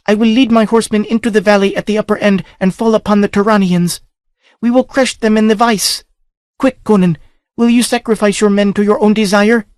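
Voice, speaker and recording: A man's voice: sits high, steady tone, a little fast, a little mellow, clear, resonant tone, no room sound, studio quality, pitched above the performer's own voice, lightly acted. A man voicing a proud young royal woman who gives commands with urgency. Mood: commanding. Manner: imperious, urgent.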